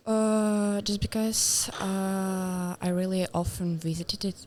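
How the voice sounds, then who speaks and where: A woman's voice: medium pitch, little pitch movement, a crowd in the background. A young woman with a Russian accent, a student guest, talking hesitantly with many fillers. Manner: hesitant, halting, many fillers, laughs often. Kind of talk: casual interview chat.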